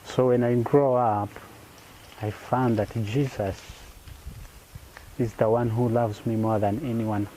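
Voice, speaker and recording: A young Ugandan-accented man giving an unscripted personal testimony in an earnest, halting way with simple, repeated phrasing. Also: mid-range voice, dark tone, slight background noise, poor audio. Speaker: interviewee sharing personal faith testimony.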